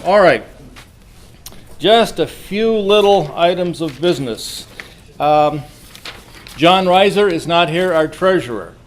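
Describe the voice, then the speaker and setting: A man hosting an informal club meeting, speaking to the room in a folksy, halting way with repeats and self-interruptions. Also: fairly high pitch. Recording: noisy live meeting room recording.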